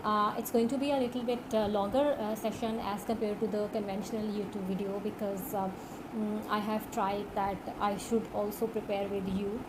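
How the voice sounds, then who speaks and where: A woman tutor speaking English with a Pakistani accent, her first language being Urdu. She talks earnestly and without hurry, pausing often on filler sounds, at a middling pitch. A clear layer of background noise sits under her voice. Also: level tone. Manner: earnest, unhurried, many filled pauses. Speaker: online course tutor. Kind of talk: direct-to-camera teaching talk.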